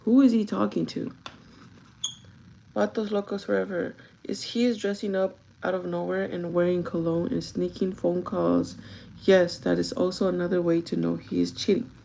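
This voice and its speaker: A young woman with a gravelly, groggy voice chats casually and directly to her viewers, giving advice in an informal vlog style. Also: on the low side, little pitch movement, moderate pace, dark tone, slight background noise, poor audio. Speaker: vlogger chatting while doing makeup.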